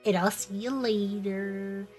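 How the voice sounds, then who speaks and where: Woman streamer, stuffed-up nasal voice, mid-pitched, playful running commentary over a story game, faint music underneath. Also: fairly even intonation, slow, a little mellow, slightly rough. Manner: playful, reads dialogue aloud and breaks off to joke. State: stuffy, congested voice. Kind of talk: reading a visual novel aloud with live chat.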